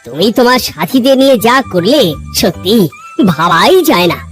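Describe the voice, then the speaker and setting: A highish, lively voice with music behind it plays a cartoon maharaja. He is regal and deliberate, an indulgent ruler whose pitch rises and falls expressively. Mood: grateful, moved. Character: stern but grateful, indulgent male king. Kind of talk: animated cartoon dialogue.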